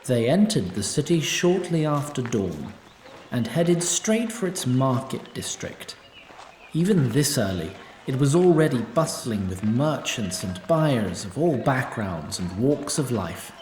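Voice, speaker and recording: A man's voice: mid-range voice, sing-song, a little slow, darkish tone, a little hiss or hum. A man voicing a snarky, timeless male sprite who narrates an audio drama with opinionated asides. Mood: wry. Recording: roomy echo.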